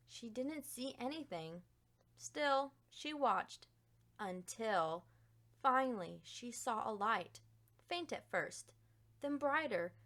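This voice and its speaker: A woman reading a children's picture book aloud as an expressive narrator, with dramatic pauses and emphasis. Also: fairly high pitch.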